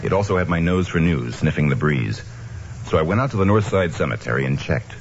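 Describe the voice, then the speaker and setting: A man's voice: a bass voice, expressive, a little fast. A man playing a kindly, determined young newspaperman in an old-time radio drama, acting and narrating in a matter-of-fact, clipped way. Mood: brisk narration. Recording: dull, noisy old radio recording.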